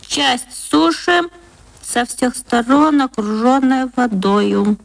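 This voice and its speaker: A woman's voice: on the high side, slow, vocal fry, voice pushed up. A woman voicing a cheerful, curious nine-year-old boy in a radio story, eager and innocent. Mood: reciting.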